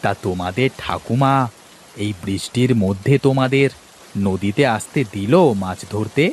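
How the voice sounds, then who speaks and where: A man voicing a friendly, curious talking river frog in a cartoon, husky and coaxing. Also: lowish voice, unhurried, slight background noise, natural voice. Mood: kindly. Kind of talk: Bengali cartoon story acting.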